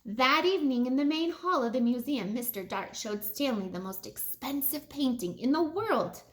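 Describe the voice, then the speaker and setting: A woman narrates a children's story as a read-aloud. Her voice sits high. She moves widely in pitch as she tells it, in an expressive storytelling style. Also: normal speed, echoey room. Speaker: storybook reader.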